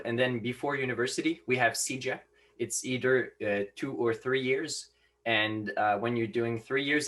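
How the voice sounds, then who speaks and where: A man's voice: mid-range voice, a bit bright. Teenage guy with a Quebec French accent. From Quebec, Canada. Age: teens. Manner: hesitant, frequent "uh" fillers. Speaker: guest maker. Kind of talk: casual interview answers.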